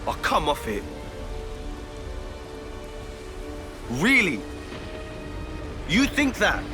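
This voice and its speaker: A man's voice: a little high, huge pitch swings, slow, exaggerated voice. An actor with a British accent playing an earnest, hot-blooded young man in a dramatic video game scene, blunt and colloquial. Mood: scornful. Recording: voice over loud music.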